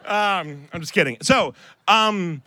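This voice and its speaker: A man's voice: high-pitched, slow, sharp tone, no room sound. An American man co-hosting a live podcast, bantering casually with sarcastic, self-deprecating jokes and rambling asides. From Ohio, United States. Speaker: podcast co-host. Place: on stage before a live audience. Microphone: close stage microphone.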